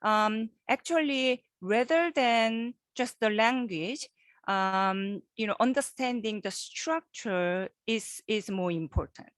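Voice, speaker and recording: A woman professor giving a guest lecture over a video call, halting and repeating words, often checking in with her listeners. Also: a little high, no room sound. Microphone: computer microphone on a video call.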